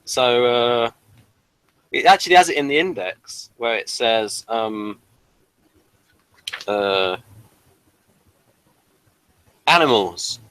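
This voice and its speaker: A man's voice: mid-range voice, slow, long pauses, a bit bright, a little hiss or hum, low-quality recording. A man co-hosting a casual review show, often stammering and restarting his phrases as he explains. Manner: stammering, repeats phrase starts. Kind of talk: casual unscripted review chat.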